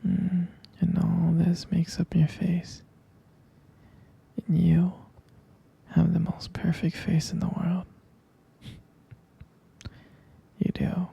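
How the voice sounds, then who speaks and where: Guy doing boyfriend asmr, super dark breathy whisper, slow n tender. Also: high-pitched, monotone. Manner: tender, adoring, unhurried murmur with soft pauses. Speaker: ASMR roleplay creator. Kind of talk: intimate boyfriend roleplay ASMR.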